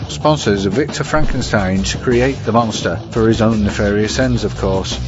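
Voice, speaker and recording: A man delivers a scripted podcast review in formal, measured phrasing. His voice is fairly low and slightly muffled, with music clearly playing behind it. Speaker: podcast segment presenter and film reviewer. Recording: muffled sound under prominent music.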